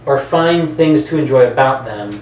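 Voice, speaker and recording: A man's voice: mid-range voice, normal speed. A man hosting a casual movie chat, recorded in a roomy space at some distance from the mic. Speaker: podcast host. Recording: roomy, distant mic, faint background noise.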